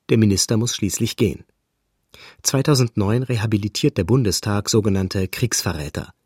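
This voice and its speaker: Calm male documentary narrator, dry sound. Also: fairly low pitch, husky, no room sound. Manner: calm, factual.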